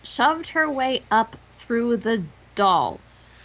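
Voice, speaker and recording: A woman's voice: fairly high pitch, sing-song, very slow, fairly bright tone, rough recording. A woman co-reading a story, breaking into casual commentary between the lines. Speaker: co-reader. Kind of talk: character read-aloud and casual commentary.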